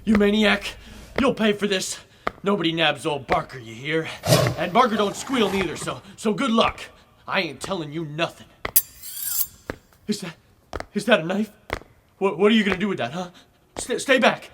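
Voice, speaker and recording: An actor playing a cocky but easily panicked street crook in an old-time radio drama, with folksy slang and bluster. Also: male voice, high-pitched, expressive, normal speed, pauses often, background noise. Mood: panicked, defiant. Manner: folksy slangy bluster, stammering when cornered.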